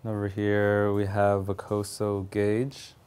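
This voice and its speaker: A young man giving a casual, matter-of-fact technical walkthrough as a bike builder, in a halting way. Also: fairly low pitch, monotone, a little mellow, clean tone. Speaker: custom motorcycle builder. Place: home garage with door open.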